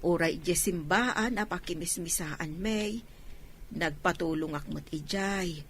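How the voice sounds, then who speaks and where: Humble village grandmother female actor, elderly, Ilocano, radio drama. Lower register, slight ambience behind. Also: measured pace, slight background noise, close to an everyday voice. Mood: grateful.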